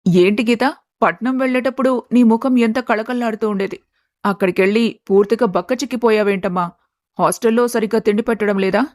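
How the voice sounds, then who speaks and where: A woman's voice: fairly high pitch, brisk, a little mellow, no room sound, clean studio sound, natural voice. A woman playing a practical, caring middle-aged village mother in a dramatised story, motherly and chiding. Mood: fussing. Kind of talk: dramatised moral story acting.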